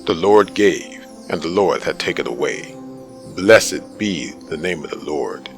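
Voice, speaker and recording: A man performs a devout, grieving man in a dramatic scripture reading. He drops low and speaks slowly, with humble sorrow and reverence, over faint music. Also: animated.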